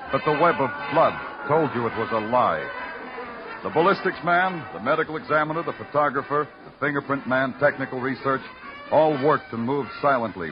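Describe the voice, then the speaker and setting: A man's voice: mid-range voice, close to an everyday voice. An American man playing a hard-boiled, world-weary New York detective, narrating in a brooding, poetic 1950s radio noir style. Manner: brooding, poetic, deliberate delivery. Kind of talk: 1940s-50s radio noir acting. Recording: dull old radio recording with music.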